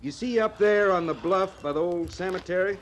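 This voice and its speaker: An actor playing a dry, wry cavalry sergeant, laconic and folksy, with a rural western American accent in old radio drama style. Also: male voice, high voice, a little fast, a little hiss or hum, lightly acted. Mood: wry, teasing.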